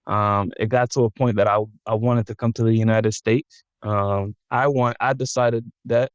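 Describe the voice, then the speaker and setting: A man from the Dominican Republic, a podcast guest, talking in a relaxed, thoughtful way with frequent ums and restarts, mixing English and Spanish. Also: fairly low pitch, moderate pace, no room sound. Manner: thoughtful, many ums and self-corrections. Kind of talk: casual interview, switching between English and Spanish.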